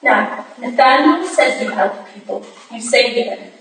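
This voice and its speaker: A woman playing a sharp, indignant, proud young Victorian society wife in a staged reading. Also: fairly high pitch, expressive, measured pace. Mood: indignant, forceful. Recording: echoey hall, distant mic, hissy noise.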